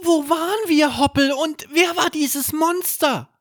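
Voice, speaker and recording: A man's voice: very high-pitched, unhurried, a bit bright, no echo, studio quality, pitched above the performer's own voice, heavily acted. A man voicing a small, curious, anxious boy who asks wide-eyed questions in a children's story. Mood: puzzled, anxious.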